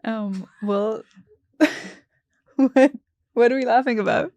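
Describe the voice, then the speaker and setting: A young woman from Pakistan hosting a podcast interview, upbeat and quick-talking with frequent laughs. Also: a little high, a little mellow, no echo. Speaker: podcast host. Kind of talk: casual interview chat.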